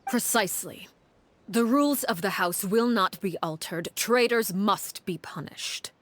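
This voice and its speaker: A woman playing a game matriarch, cold, commanding, slow delivery, faint noise. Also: mid-range voice, natural voice. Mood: cold, stern. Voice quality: calm, measured, commanding. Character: cold stern female head of an orphan house. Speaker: the Father. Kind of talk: game voice acting.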